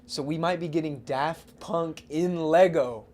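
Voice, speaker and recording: A man's voice: a little high, measured pace, darkish tone. A man hosting a podcast, chatting casually about films and comics in an informal, slangy way. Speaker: podcast host.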